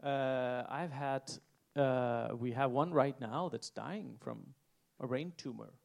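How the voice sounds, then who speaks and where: Gravelly man, earnest and halting. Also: mid-range voice, darkish tone. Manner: earnest, halting, searching for words. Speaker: head chef on a panel. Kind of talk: reflective panel talk. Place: event stage before an audience.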